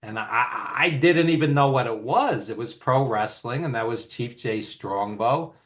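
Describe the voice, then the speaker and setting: A man's voice: mid-range voice, moderate pace. An older man from Brooklyn with a Brooklyn accent, telling rambling, nostalgic stories as an interview guest. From East Flatbush, Brooklyn, New York. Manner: rambling, nostalgic, with fillers and restarts. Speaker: guest, author and filmmaker. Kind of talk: casual storytelling in an interview.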